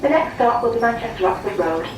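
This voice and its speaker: A woman's voice: on the high side, animated, fast talker, close to an everyday voice. A woman playing a polite, impersonal train announcer in a radio drama, giving formal announcements. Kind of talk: formal public address announcement. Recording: noisy train carriage announcement.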